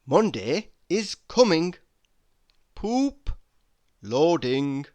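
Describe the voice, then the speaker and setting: Very slow, animated man reading quotes. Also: fairly high pitch. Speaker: reader. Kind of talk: reading humorous quotes aloud.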